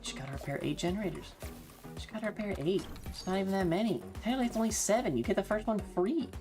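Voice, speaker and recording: A woman's voice: medium pitch, lively intonation, fast, a little mellow, faint music behind. A woman live-streaming a video game, chatting casually with slangy, sweary exclamations. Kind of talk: casual gaming stream commentary.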